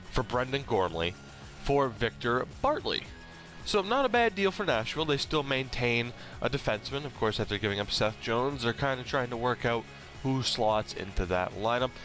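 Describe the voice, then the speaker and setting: A man's voice: mid-range voice, fairly bright tone. A young man who is sick and slightly nasal, bluntly ranting about a trade in a casual, swearing monologue. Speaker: hockey commentator vlogger. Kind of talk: opinionated casual rant.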